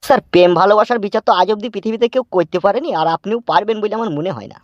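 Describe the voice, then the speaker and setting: A man's voice: sits high, rapid-fire, no echo, lo-fi sound, lightly acted. A man voicing a sympathetic, philosophical young police constable in a cartoon, explaining earnestly.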